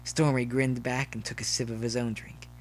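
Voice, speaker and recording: A man's voice: medium pitch, level tone, moderate pace. A man reading scripted story narration as the narrator. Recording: faint noise behind the voice.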